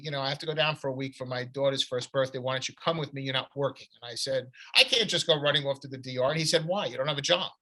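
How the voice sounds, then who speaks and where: Middle-aged New man, author, bright voice, rambling storyteller. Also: a little high. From United States. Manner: long rambling anecdotes with restarts. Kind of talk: conversational storytelling.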